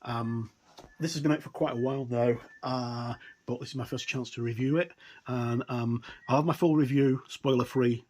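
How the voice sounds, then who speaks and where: A man giving a casual, somewhat halting unboxing commentary as a tabletop game reviewer. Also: mid-range voice, fairly even intonation, warm tone.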